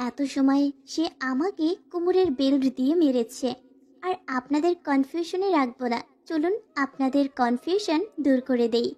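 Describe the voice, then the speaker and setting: A woman playing a frightened, pleading young student bride in a dramatized first-person romantic audio story. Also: high-pitched. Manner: plaintive, pleading.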